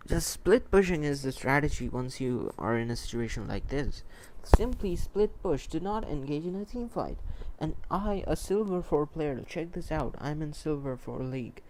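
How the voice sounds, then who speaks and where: A man's voice: a little high, animated, moderate pace, hardly any pauses, darkish tone, slight background noise, low-quality recording. A man who is not a native English speaker hosts a gaming livestream, chatting casually and repeating himself as he comments on matches. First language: a language other than English, not Hindi. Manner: rambling, repeats himself, answers chat as he goes. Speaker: esports livestream host and commentator. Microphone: close microphone.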